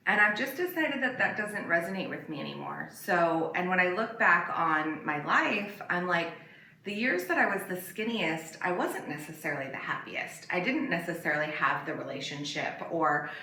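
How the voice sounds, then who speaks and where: An echoey, faintly noisy recording of a woman with a slightly low but bright voice. She speaks as a podcast guest, casual and reflective, laying out her views frankly and in a measured way. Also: very animated. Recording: echoey room, distant mic, faint noise.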